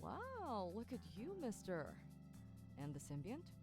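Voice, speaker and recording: A woman's voice: medium pitch, wildly swooping pitch, slow. An actress voicing a protective, teasing young woman, a loyal best friend in a visual novel game, speaking in a wry, casual way. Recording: background music under the voice.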